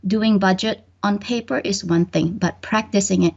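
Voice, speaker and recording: A woman's voice: mid-range voice, steady tone, moderate pace, vocal fry. A middle-aged woman from Indonesia, raised in Malaysia, answering interview questions hesitantly with many ums. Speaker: bookkeeper and guest. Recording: dull lo-fi audio.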